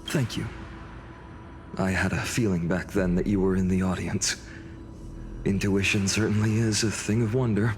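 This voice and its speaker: A man's voice: deep voice, fairly even intonation, moderate pace, long pauses, natural voice. A man playing an earnest, courteous young heroic leader in a game, composed and polite. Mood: courteous, gentle. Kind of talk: scripted game drama acting. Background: music.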